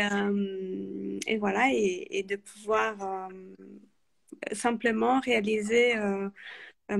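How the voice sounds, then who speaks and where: A woman whose first language is not French, speaking on a video call and telling her story thoughtfully, with many pauses and restarts. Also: medium pitch, creaky. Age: adult with a daughter. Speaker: guest. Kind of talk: live video call interview.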